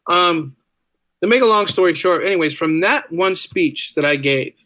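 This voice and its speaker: A man's voice: sits high, animated, normal speed, bright, forceful tone, no echo. A middle-aged man telling stories casually as a podcast guest, chatty and informal, repeating phrases for emphasis. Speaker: podcast guest, teacher and writer. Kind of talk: casual conversational storytelling.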